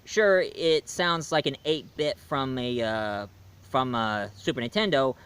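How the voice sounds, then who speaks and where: A man's voice: medium pitch, slow pace, fairly bright tone, smooth. A man talking casually to camera, announcing his own audio drama in a rambling, enthusiastic way with frequent restarts. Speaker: YouTube reviewer.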